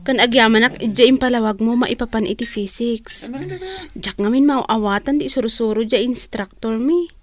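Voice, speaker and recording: A woman's voice: on the high side, steady tone, normal speed, close to an everyday voice. A woman acting a caring, generous young student and loyal friend in a radio drama. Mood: friendly.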